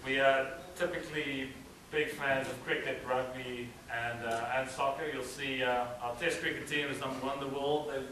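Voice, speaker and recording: A man's voice: mid-range voice, moderate pace, a little hiss or hum. South African man presenting in an echoey hall. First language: Afrikaans. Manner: relaxed, hesitant with frequent uh fillers. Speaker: student presenter. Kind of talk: slideshow presentation to an audience. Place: event hall. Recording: roomy hall, mic at a distance.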